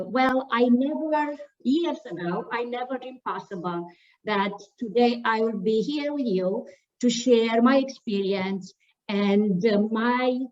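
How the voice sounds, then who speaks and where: A woman from Romania, a staff member telling her story at an online panel, halting with many fillers and restarts. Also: on the high side, darkish tone, recorded from a distance, highs cut off.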